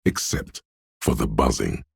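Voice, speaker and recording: A man playing a detective's ominous, dreamy intuition in a video game, speaking in a hushed, portentous, theatrical way with dramatic pauses and a gravelly, husky voice. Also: a bass voice, very animated, no room sound, clean studio sound, heavily acted. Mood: brooding, mysterious. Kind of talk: video game voice acting, second-person inner monologue.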